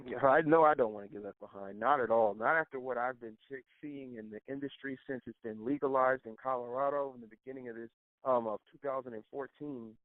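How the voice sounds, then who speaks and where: A man's voice: mid-range voice, brisk. Man on a roomy, tinny phone line. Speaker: co-presenter on an investment call. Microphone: telephone.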